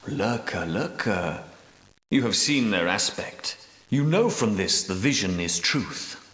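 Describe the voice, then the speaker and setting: An old knightly guardian of a holy relic in a video game. His voice is low, solemn and portentous, with formal phrasing. It rings in a roomy echo, a little distant from the microphone. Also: animated, takes it slowly, fairly bright tone. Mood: wry, assured. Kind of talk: video game dramatic dialogue.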